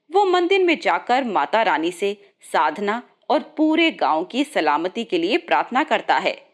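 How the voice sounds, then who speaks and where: A woman reading a scripted moral story as its narrator. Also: mid-range voice.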